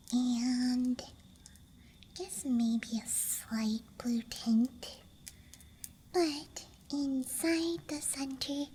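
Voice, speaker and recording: A young woman speaks in a soft, breathy ASMR whisper, with a high, girlish tone. Her delivery is extremely slow, gentle and playful, as if sharing small things one at a time. A faint outdoor night ambience sits behind her. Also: a little hiss or hum. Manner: gentle, playful, unhurried murmuring. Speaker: ASMR streamer. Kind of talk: ASMR, unscripted show-and-tell. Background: night campground ambience track.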